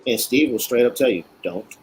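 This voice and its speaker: A man's voice: medium pitch, normal speed, slight background noise. A man from Queens, a guest in a casual chat, talking in a blunt, emphatic way with many restarts. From Queens, New York.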